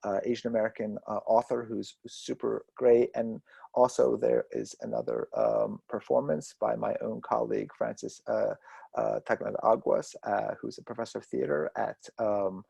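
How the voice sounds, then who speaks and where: Gravelly-voiced man moderating a talk. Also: on the low side, moderate pace, warm tone, no room sound. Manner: rambling, filler-heavy, enthusiastic. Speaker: host and moderator. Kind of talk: moderating a literary conversation over video call.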